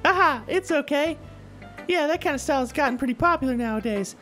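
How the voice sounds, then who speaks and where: Visual novel club leader, played by a woman: teenage girl, high-pitched, upbeat, encouraging, light music underneath. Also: lively intonation, quick pace. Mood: cheery. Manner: cheery, chatty, gently coaching. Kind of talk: visual novel character dialogue read aloud. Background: soft background music.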